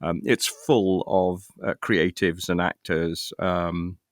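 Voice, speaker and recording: A man's voice: deep voice, fairly even intonation, slow pace, no room sound, studio quality. A middle-aged man with a British accent talking reflectively in an interview, thoughtful and hesitant, often restarting his sentences. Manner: hesitant, thoughtful, with repeated false starts. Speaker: interview guest, actor and writer.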